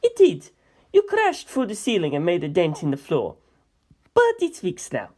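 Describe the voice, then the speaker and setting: A woman voicing a composed, dryly evasive male mafia member in a measured, matter-of-fact way for a story reading. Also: on the low side, wildly swooping pitch, mellow.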